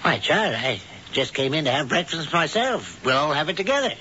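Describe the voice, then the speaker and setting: An actor playing a fussy, falsely kindly older warlock who leads a coven, in a theatrical, coaxing manner for an old-time radio drama. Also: male voice, on the high side, very animated, moderate pace, sharp tone. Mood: flustered. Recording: dull, noisy old radio recording.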